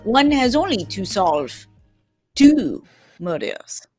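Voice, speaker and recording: A woman's voice: on the high side, very animated, takes it slowly, a little mellow, soft background music, pitched below the performer's own voice. A woman playing a dapper, self-assured male detective in a put-on French accent, with formal, courtly phrasing, in a radio drama reading. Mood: smug, measured. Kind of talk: live old-time radio drama reading.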